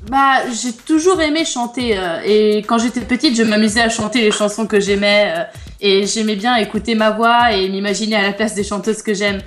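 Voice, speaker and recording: A woman's voice: mid-range voice, steady tone, normal speed, faint music behind. A bilingual French-Italian teenage girl from France, giving short, plain answers as a guest singer. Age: teens. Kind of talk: interview answers.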